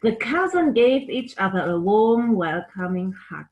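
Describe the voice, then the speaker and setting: A woman tells a picture-book story to children at a slow, unhurried pace. Her delivery is expressive, and she speaks to young listeners directly, as a puppeteer storyteller would. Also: medium pitch, narrow, tinny audio. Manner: expressive, addressing children with questions.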